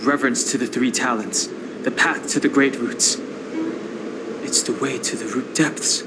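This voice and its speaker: An actor playing a composed young man who explains things quietly and calmly. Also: medium pitch, unhurried, loud music behind the voice, close to an everyday voice.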